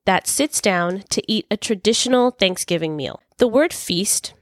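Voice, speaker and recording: A woman hosting a podcast English lesson, speaking clearly and patiently while recovering from a cold. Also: mid-range voice, lively intonation, moderate pace, no echo. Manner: clear, patient teaching delivery. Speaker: English teacher and podcast host.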